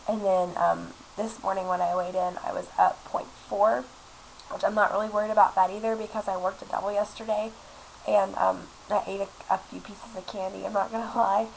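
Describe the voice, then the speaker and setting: A woman's voice: medium pitch, little pitch movement, moderate pace, gravelly, a little mellow. A woman in her twenties, sick and congested, chatting casually to her laptop webcam in a small apartment, rambling with lots of fillers. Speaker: vlogger. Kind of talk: casual chat to camera. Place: small apartment room indoors. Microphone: laptop webcam mic. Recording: lo-fi webcam audio with faint hiss.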